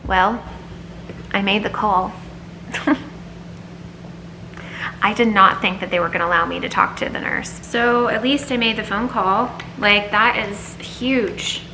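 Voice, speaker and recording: A woman's voice: medium pitch, steady tone, unhurried, long pauses, sharp tone. A woman talking candidly in a personal vlog, earnest and confiding, as if thinking aloud. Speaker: vlogger. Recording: echoey room, faint background noise.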